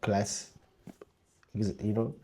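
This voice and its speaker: A man's voice: on the low side, flat delivery, slow, dark tone. A man from Uganda with a Ugandan accent, telling his own story conversationally as an interview guest and repeating phrases for emphasis. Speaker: interview guest, table tennis player and coach.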